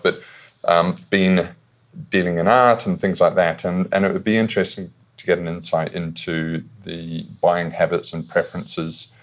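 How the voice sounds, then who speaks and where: A man hosting an interview podcast, talking loosely with many ums and restarts. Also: deep voice. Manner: rambling, many fillers and restarts. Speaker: podcast host.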